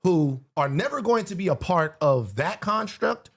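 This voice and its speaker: A man's voice: high-pitched, animated, measured pace. A man speaking as a guest in a casual political conversation, emphatic and building his points through repetition.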